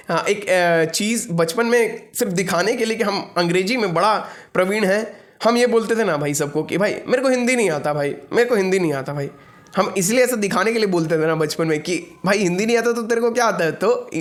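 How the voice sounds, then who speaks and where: A young adult man speaking as a spiritual teacher, giving an informal devotional discourse in an earnest, instructive manner with repeated phrases for emphasis. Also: high-pitched. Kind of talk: devotional discourse, mixing reading with informal explanation.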